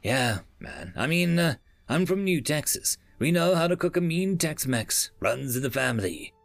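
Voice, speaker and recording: A man's voice: on the high side, big pitch swings, moderate pace, gravelly, no echo, soft background music, pitched above the performer's own voice. A man voicing a boastful, chatty male chef who rambles in folksy slang.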